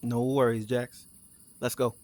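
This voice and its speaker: Sci-fi audio drama sidekick: male actor as casual, teasing, candid crewmate, middle-pitched voice, dry studio sound. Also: little pitch movement, a little slow, a little mellow, no room sound, natural voice. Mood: alert. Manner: casual, conversational. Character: easygoing, teasing, candid male crewmate on time-travel mission. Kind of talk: naturalistic audio drama dialogue.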